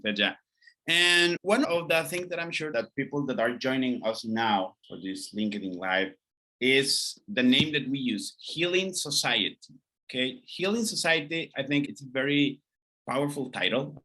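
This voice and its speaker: A man hosts an interview and opens each question with eager praise. His voice is bright and forward, and his questions ramble before he reaches the point. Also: mid-range voice, lively intonation, normal speed, rough recording. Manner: enthusiastic, asks long winding questions. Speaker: interview host. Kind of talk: hosting an interview series.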